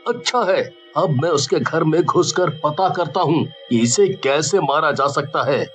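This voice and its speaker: A man's voice: a little high, lively intonation, unhurried, fairly bright tone, faint music behind. A man playing a worried, determined young brother in a cartoon horror story, earnest and dramatic. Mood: suspicious.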